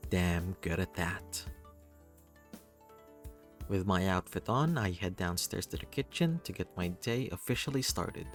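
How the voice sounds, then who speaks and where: A man reading a first-person story aloud in an expressive, playful, theatrical way. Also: low-pitched, unhurried, long pauses, soft background music. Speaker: reader of a visual novel.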